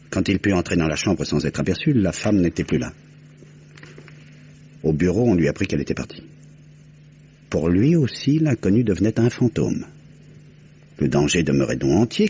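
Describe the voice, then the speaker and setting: A composed detective with a low voice and a methodical, articulate way of explaining, in vintage French radio drama style. The recording is slightly dull with faint noise. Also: male voice, a little mellow. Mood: grave, methodical. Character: composed, methodical detective recounting a solved murder. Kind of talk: old French radio crime drama acting.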